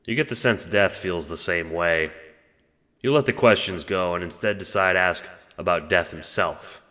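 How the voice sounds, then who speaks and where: A man's voice: sits low, moderate pace, bright, forceful tone, in a large hall. A man reading scripted second-person narration for an audio drama.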